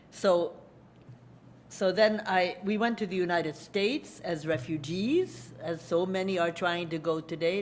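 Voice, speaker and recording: A woman's voice: lowish voice, slight background noise. Older Hungarian-born woman giving a lecture. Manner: warm, conversational, addresses children in audience. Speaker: guest lecturer. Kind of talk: public lecture. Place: lecture hall podium.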